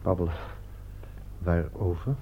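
Jittery, suspicious addict, lowish voice. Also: male voice, unhurried, slightly rough. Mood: nervous, puzzled. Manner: hesitant, stammering, wary. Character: nervous, suspicious male morphine addict, easily offended. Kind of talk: Dutch radio play acting. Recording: muffled, noisy old radio play recording.

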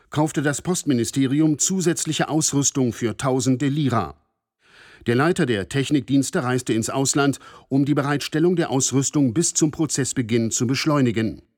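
A radio play reporter, acted by a man, reading sober trial dispatches. His voice sits at a middle pitch and is measured and factual. The sound is very dry. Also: a little mellow, no room sound, natural voice.

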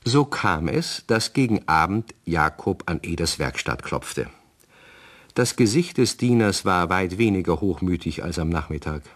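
A man's voice: sits low, expressive, unhurried, fairly bright tone, exaggerated voice. A man reading scripted narration as the storyteller of a children's radio play. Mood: storytelling.

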